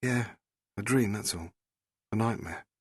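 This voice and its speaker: A man's voice: lowish voice, a little slow, breathy edge, dry recording, studio quality. A man voicing a young woman in an audiobook, informal, shaken but inquisitive.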